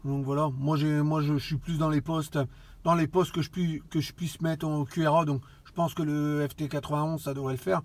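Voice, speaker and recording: A man chatting casually as an amateur radio operator, halting and often repeating his phrases. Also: fairly high pitch, flat delivery, darkish tone. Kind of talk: casual radio contact chat.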